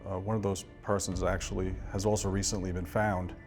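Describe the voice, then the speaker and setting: A man as an interview guest recounting a personal ordeal in a halting, restrained way. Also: sits low, flat delivery, soft background music.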